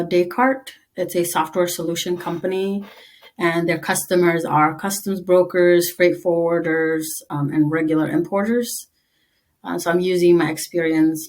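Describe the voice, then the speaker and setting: Female interview guest from East Africa, Tigrinya native, lower-pitched, quick and chatty. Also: steady tone. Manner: chatty, quick, lots of "like", laughs easily. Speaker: interview guest, army veteran. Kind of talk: conversational interview.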